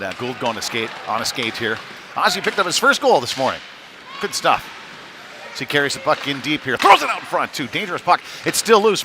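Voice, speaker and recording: A man with a medium-pitched voice commentates live on a youth hockey game. His delivery is conversational and a little halting, with plenty of filled pauses. The clatter and ambient noise of an ice rink is clearly heard behind him. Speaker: play-by-play commentator. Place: chilly indoor ice rink.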